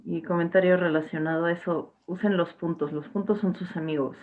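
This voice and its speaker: A woman's voice: lowish voice, fairly even intonation, fairly quick. Chatty young woman teacher on a tinny line. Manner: chatty, with restarts and fillers. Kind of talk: casual online class talk.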